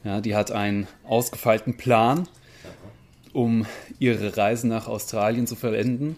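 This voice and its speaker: A man's voice: fairly low pitch, steady tone, unhurried, darkish tone. A man, a stage actor, answering questions in a casual on-location interview. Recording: on-location interview with background noise.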